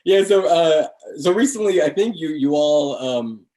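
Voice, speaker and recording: A man's voice: fairly high pitch, lively intonation, a little slow, warm tone, poor audio. A young man hosting a casual interview, introducing the guest and asking loose, rambling questions with lots of fillers. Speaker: interview host.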